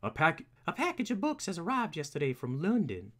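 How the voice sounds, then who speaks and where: A man's voice: on the high side, wildly swooping pitch, brisk. A man voicing a formal, courteous elderly British butler from a comic book, dry and devoted, with a hesitant delivery.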